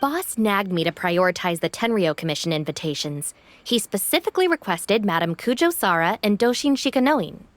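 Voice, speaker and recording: Woman as a dry, expressive young gang deputy. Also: mid-range voice, a little fast, close to an everyday voice. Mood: dry, wry. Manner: dry, matter-of-fact. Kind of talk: animated game dialogue.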